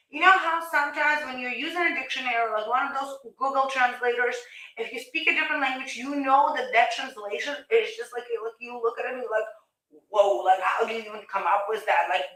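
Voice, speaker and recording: A woman with a Russian accent hosts a live shopping stream, talking straight to her viewers in an upbeat, chatty way. Her voice is bright and fairly high. She sits back from the mic in an echoey room at home. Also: far from the mic. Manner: chatty, upbeat selling, addresses viewers directly. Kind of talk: live stream product pitch. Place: home, near kitchen.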